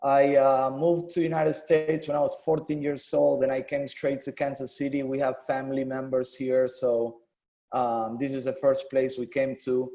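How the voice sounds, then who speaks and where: Mellow-voiced man from Argentina. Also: mid-range voice, moderate pace, gravelly. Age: 30s. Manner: relaxed, conversational storytelling with many "um"s. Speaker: guest, former pro player and coach. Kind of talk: casual interview.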